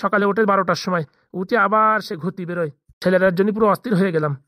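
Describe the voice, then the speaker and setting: Casting: worried, kindly middle-aged landlord for comedy cartoon, acted out, Bengali accent, breakneck colloquial delivery, medium pitch. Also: racing, a bit bright, dry recording, studio quality, close to an everyday voice. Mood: complaining. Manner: chatty, fussing, colloquial.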